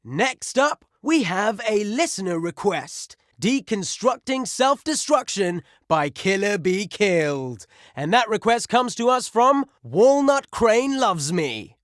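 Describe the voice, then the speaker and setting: A brash game-radio metal DJ with a high-pitched, very animated voice. He announces tracks with punchy showmanship and takes his time over the words, in a dry, close studio sound. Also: slow, no echo, full character voice. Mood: upbeat. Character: brash, excitable male heavy metal radio DJ. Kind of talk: radio DJ announcing songs and listener requests.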